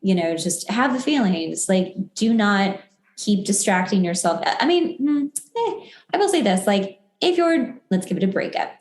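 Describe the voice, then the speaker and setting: A woman's voice: medium pitch, animated, normal speed, darkish tone. A woman hosting a casual podcast, chatty and peppering her talk with "like" as she voices her own thoughts. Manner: chatty, uses "like" constantly, acts out inner thoughts. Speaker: podcast host. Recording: roomy, echoey sound.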